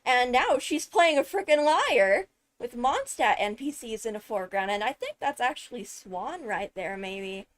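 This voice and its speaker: A woman giving casual, analytical commentary on a video, animated and emphatic on key words. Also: a little high, moderate pace. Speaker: video host, game lore commentator. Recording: background music behind the voice. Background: music.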